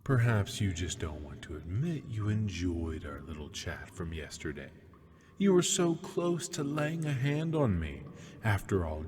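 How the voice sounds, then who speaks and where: A man playing a seductive, taunting demon prisoner in an ASMR roleplay, husky and breathy, teasing in mock-sweet tones and often sinking toward a whisper. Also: lowish voice, a little mellow, slight background noise. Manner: slow, teasing, mock-sweet, lingering on words. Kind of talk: ASMR roleplay, scripted monologue. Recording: long hall-like reverb.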